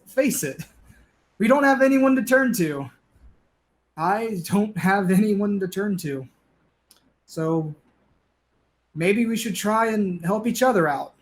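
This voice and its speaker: Male actor as hard-up neighbor, stage play, high voice, wry, colloquial, conciliatory. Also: expressive, slow, pauses often. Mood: earnest, pleading. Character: down-on-his-luck bickering male neighbor, wry but conciliatory.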